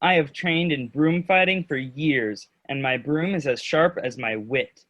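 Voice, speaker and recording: A man's voice: fairly high pitch, measured pace, a bit bright, highs cut off. A man playing a boastful, playful young friend, mock-heroic in a children's theatre staged reading.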